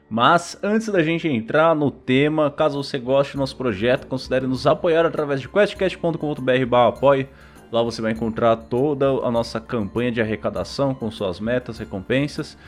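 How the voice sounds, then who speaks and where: Casual Brazilian man hosting a podcast, music behind. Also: mid-range voice. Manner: informal, slangy. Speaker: podcast host.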